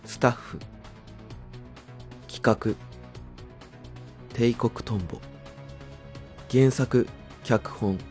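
A man's voice: medium pitch, drawn-out words, pauses often, a little mellow, faint music behind. A man giving a scripted ending narration, reading out the credits of a radio drama.

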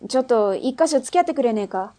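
An actress playing a tough, brusque female underworld boss and mother in a radio drama, speaking in a rough, mannish, commanding way. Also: high voice, expressive, fast talker, mellow. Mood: brisk. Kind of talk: Japanese radio drama acting.

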